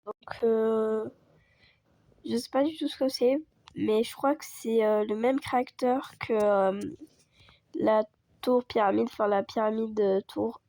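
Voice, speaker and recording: A woman chatting casually over gameplay as a video creator, hoarse from a cold and rambling with lots of fillers. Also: a little high, steady tone, unhurried, warm tone, no room sound. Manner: rambling, full of fillers and restarts.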